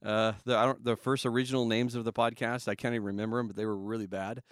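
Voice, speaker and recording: A man in his thirties from Southern California, a pastor talking casually as a podcast guest, relaxed and rambling with fillers and chuckles. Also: medium pitch, quick pace, clear, resonant tone, no room sound, clean studio sound.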